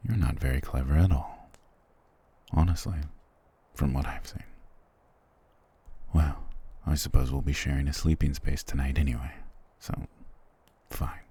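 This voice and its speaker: Male actor as a haughty fantasy soldier prince, extremely low pitch, husky rasp, curt, dismissive, guarded, ASMR roleplay. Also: fairly even intonation, unhurried, pauses often, dark tone, full character voice. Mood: grudging, curious. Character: cruel, prickly, proud young soldier prince, guarded and weary.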